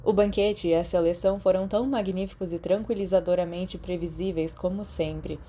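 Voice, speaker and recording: Mellow Brazilian woman narrating fiction. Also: fairly low pitch, fairly even intonation, normal speed, a little hiss or hum. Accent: Brazilian Portuguese. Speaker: podfic narrator. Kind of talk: scripted fiction narration with acted dialogue.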